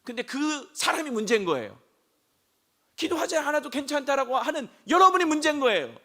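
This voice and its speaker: A man preaching a sermon as senior pastor, hoarse from a throat cold, asking the congregation questions and rising to shouts. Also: very high voice, very animated, brisk. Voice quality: gravelly.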